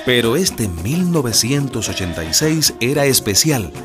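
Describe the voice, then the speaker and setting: A man narrating a political radio drama from a script, stressing key phrases deliberately. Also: mid-range voice, very animated, over music. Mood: storytelling.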